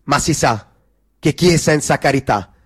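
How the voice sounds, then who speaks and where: A man's voice: fairly high pitch, animated, normal speed, breathy edge. A man delivering scripted theatrical narration.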